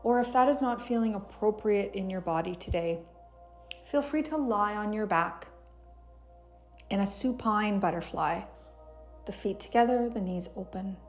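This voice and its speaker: A woman guiding a yoga meditation in a soothing, gentle, unhurried way, as a teacher giving calm instructions. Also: medium pitch, soft background music. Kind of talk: guided meditation narration.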